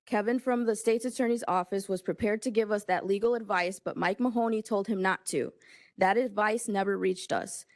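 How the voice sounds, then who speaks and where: A woman county board member giving a pointed, forceful prepared statement at a public meeting. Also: medium pitch, moderate pace, dry recording.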